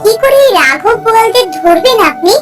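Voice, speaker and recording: A woman voicing a gentle young queen who speaks calmly and soothingly. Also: very high voice, a little fast, music in the background. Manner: calm, soothing and attentive.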